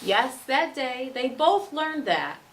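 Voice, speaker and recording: A woman schoolteacher reads a children's picture book aloud expressively, with dramatic pauses and emphasis for young listeners. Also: high voice, very animated, a little hiss or hum. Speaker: second-grade teacher reading aloud.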